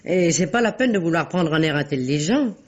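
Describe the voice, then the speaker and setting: Une actrice qui joue, dans une dramatique policière radiophonique, une bonne veuve et âgée, revêche, sarcastique et brusque. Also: female voice, low voice, animated, a little fast. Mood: sarcastic. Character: sharp-tongued, grumbling older widowed maid. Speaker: actress in a radio mystery play. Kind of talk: French radio mystery drama acting, 1950s manner. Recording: dull vintage radio recording.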